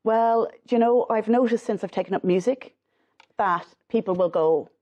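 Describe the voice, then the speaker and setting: A middle-aged Irish woman with a low alto voice, chatting as an interview guest in quick, self-deprecating bursts. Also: dull audio. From Ireland. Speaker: singer-songwriter guest. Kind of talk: casual interview chat.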